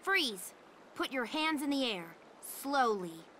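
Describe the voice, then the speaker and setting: A woman playing a brisk, suspicious young town watch guard in a video game, spirited and bossy. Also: high-pitched, sing-song, a bit bright, a little hiss or hum, heavily acted. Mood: stern.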